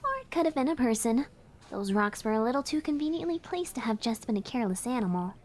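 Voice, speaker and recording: Serious, higher-voiced anime girl. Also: lively intonation, quick pace, a little mellow. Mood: suspicious. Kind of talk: visual novel voice acting.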